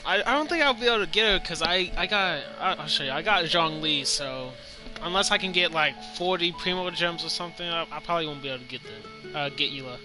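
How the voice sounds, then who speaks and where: A man comments casually as he plays a video game. His talk is slangy and laid-back, full of muttered asides, with game music clearly audible behind him. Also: on the high side, fast talker. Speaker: gaming video host.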